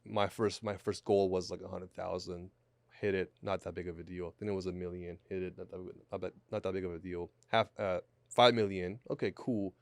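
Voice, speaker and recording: A man's voice: sits low, fairly even intonation, a little fast, creaky, no room sound. A man in his late twenties speaking as a podcast guest, reflective and repeating words as he thinks, on a studio mic. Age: 20s. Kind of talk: podcast conversation. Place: small studio. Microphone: studio mic on boom arm, headphones.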